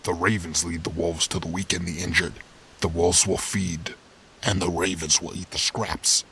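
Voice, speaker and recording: A man's voice: low-pitched, level tone, normal speed, sharp tone, slight background noise. A man voicing a driven wild animal in a dramatic fandub, intense, urgent and solemn. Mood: wary, grim. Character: grim, driven male wild animal with whiskers.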